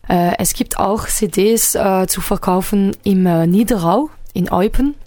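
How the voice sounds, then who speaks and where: A woman whose first language is French, a singer-songwriter answering interview questions about her music in careful phrasing with many pauses and fillers. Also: medium pitch, dark tone, dry recording. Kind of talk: radio interview.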